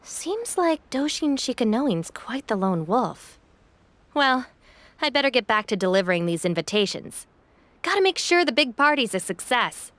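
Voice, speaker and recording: Frank, wry young deputy, animated. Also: female voice, a little high, moderate pace. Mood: businesslike. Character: practical young woman, frank, wry, dutiful deputy to her boss. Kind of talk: video game cutscene dialogue. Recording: music under the voice.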